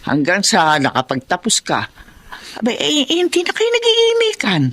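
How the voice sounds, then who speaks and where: A woman's voice: on the high side, wildly swooping pitch, normal speed, fairly bright tone, exaggerated voice. A woman playing a patient, hesitant peasant mother who coaxes her family in a Filipino radio drama. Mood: worried.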